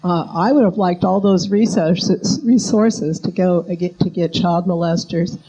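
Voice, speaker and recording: Muffled, mellow woman giving a statement. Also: lowish voice, steady tone, unhurried, slightly rough. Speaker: audience member giving a statement. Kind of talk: personal public statement.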